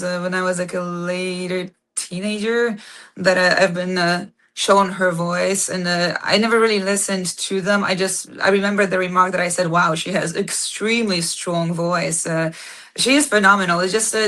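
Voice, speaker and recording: A young woman with a fairly low voice talks casually as an interview guest. She often pauses on "uh" and "um" while she hunts for the right words. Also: fairly bright tone. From Poland. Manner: frequent "uh" and "um", searching for words. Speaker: band singer, guest.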